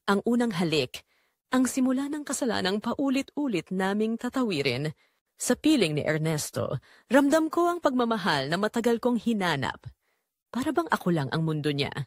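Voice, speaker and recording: A woman playing a remorseful secretary and mistress, narrating her forbidden love in an expressive, confessional audio drama style. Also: medium pitch, no room sound, clean studio sound.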